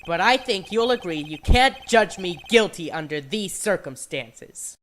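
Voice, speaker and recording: A man's voice: sits high, animated, measured pace, a bit bright, a little hiss or hum. A young man playing an earnest, easily flustered defense lawyer in an emphatic video game fandub. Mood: confident, pressing. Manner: emphatic, theatrical.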